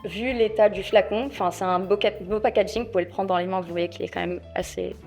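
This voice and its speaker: A woman contestant pitching a product to judges off the cuff, with lots of fillers and a chatty, persuasive manner. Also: fairly even intonation, fast, a little mellow, soft background music. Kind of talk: improvised sales pitch.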